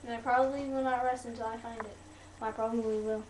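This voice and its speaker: A woman's voice: on the high side, little pitch movement, quick pace, a little mellow. A young woman chatting casually into a webcam in a bedroom, rambling in an informal, playful, easily sidetracked way. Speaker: video blogger. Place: small bedroom, indoors. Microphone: webcam mic at close range. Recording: noisy cheap webcam audio.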